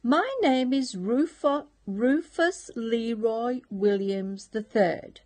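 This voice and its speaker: A woman's voice: on the high side, takes it slowly, a little mellow, pitched above the performer's own voice. A woman voicing an eager, polite little boy pig from a storybook who wants to become a pirate. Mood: earnest. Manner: earnest, polite, insistent.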